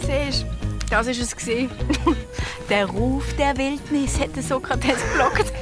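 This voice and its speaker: Excitable high-voiced Swiss German girl. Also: takes it slowly, music in the background. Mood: excited, delighted. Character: excitable young twin girl detective, bossy but caring. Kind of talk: children's audio drama acting.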